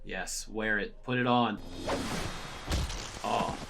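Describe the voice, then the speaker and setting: Lowish-voiced man giving casual commentary. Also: steady tone, slow pace, vocal fry, very noisy. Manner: conversational, thinking aloud as he watches. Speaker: host, cartoon reviewer.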